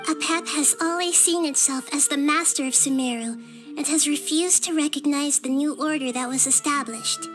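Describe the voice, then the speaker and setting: High-voiced, wise little goddess. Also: female voice, a bit bright, faint music behind. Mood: solemn, explanatory. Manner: measured, thoughtful. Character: gentle, wise, child-sized female deity explaining ancient lore. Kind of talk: video game character dialogue.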